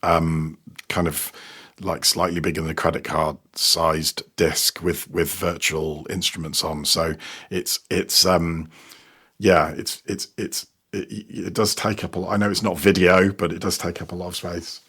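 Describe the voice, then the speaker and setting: A man chatting casually as a podcast guest, haltingly, repeating words as he goes. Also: deep voice, little pitch movement, fairly bright tone, slightly rough. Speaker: podcast guest, sound designer. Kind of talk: casual interview chat.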